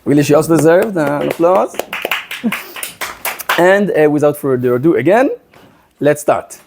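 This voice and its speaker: A man's voice: fairly high pitch, moderate pace, creaky, noisy background. A man of thirty with an Israeli accent, giving an earnest, explanatory presentation with frequent hesitations. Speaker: guest speaker, presenter. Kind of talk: public presentation to a student club.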